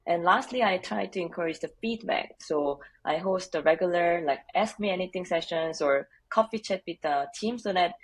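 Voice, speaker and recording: A woman from Korea with a Korean accent, a guest answering interview questions in an earnest, measured way with light laughs. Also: lowish voice, breathy edge. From South Korea. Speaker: HR leader, podcast guest.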